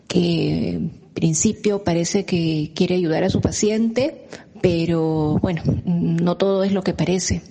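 A woman actress talking calmly about playing her role. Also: mid-range voice. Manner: slow, calm, measured. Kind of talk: casual reflection.

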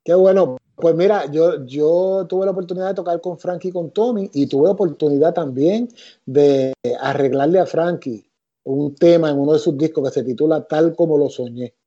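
Relaxed Puerto Rican man, dull sound. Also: fairly high pitch, normal speed. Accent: Puerto Rican Spanish. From Puerto Rico. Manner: relaxed, reflective, many fillers. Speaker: musician and arranger, guest. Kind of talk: interview answers, storytelling.

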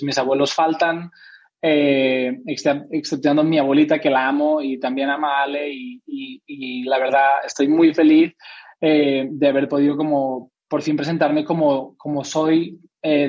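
Dull-sounding young man, higher-pitched voice. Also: a little mellow. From Spain. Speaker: interviewed author. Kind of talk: reflective interview conversation. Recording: dull, lo-fi audio.

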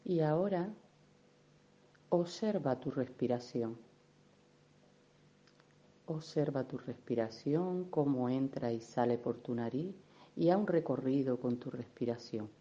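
A woman leading a guided meditation in a gentle, unhurried, soothing manner, with affectionate little diminutives. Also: very deep voice, dull audio. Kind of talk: guided meditation, soft instruction.

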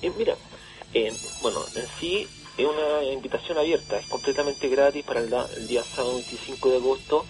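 Mid-pitched Chilean man explaining, music behind. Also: a little fast, rough recording. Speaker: interview guest, event organiser. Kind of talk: conversational explanation in a radio interview. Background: background music.

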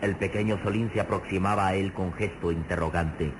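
An actor as a grave, suspenseful narrator of a vintage radio serial, florid and dramatic. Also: male voice, low-pitched, fairly even intonation, a little fast, background noise, lightly acted. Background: dramatic music.

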